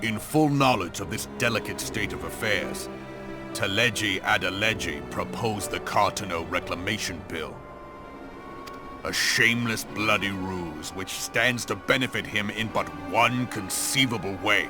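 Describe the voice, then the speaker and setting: Deep-voiced, stern fantasy military commander played by a man. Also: a little slow, music in the background, natural voice. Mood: scornful. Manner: grave, formal, archaic phrasing. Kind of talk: scripted video game drama.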